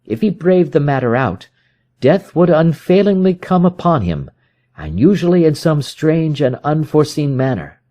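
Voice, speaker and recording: Mellow American man reading a story aloud. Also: fairly high pitch, very animated, normal speed. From Arizona, United States. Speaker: audiobook reader. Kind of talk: scripted story narration.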